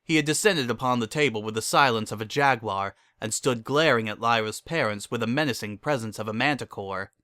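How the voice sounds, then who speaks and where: A man's voice: medium pitch, a little fast, few pauses, sharp tone, dry recording, clean studio sound. A man giving a scripted, dramatic narration of a story.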